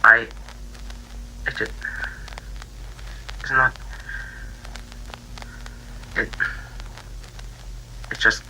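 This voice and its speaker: A man voicing a bitter, grieving young pig character in a halting, stammering interview for an analog horror fan drama. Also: mid-range voice, bright, forceful tone, a little hiss or hum, exaggerated voice, rough recording. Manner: halting, stammering, repeats himself, trailing off. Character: grieving, bitter young male cartoon pig, infection survivor.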